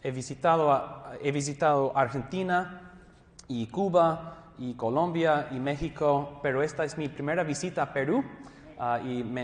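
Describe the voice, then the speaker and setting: A man from Southern California with an American English accent, a pastor teaching a theology class in his second language, friendly and self-deprecating, pausing and restarting often. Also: mid-range voice. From Southern California, USA. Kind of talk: classroom lecture. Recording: echoey hall, long reverb.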